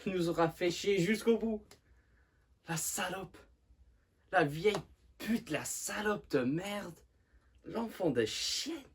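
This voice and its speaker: A man playing an enraged, grieving son in a theatre monologue, shouting and cursing explosively. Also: mid-range voice, slow pace, a little hiss or hum, lightly acted. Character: enraged, grieving adult son, bitter and foul-mouthed.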